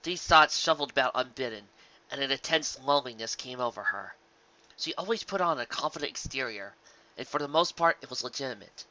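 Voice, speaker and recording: A man reading a fan fiction story aloud as narrator, in an expressive, dramatic scripted style. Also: mid-range voice, fairly quick, muffled recording.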